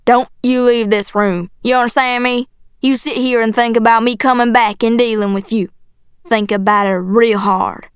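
A woman voicing a bitter, bullying middle-aged Southern father in an audiobook, gruff and profane in manner. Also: a little high, fairly even intonation, normal speed, dry recording, studio quality, close to an everyday voice. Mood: cold menace. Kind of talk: audiobook character acting.

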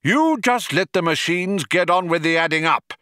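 A man's voice: on the high side, sing-song, normal speed, pushed and bright, no echo, studio quality, pitched above the performer's own voice. A man voicing a pedantic, blustering older union philosopher with belligerent, indignant shop-steward talk.

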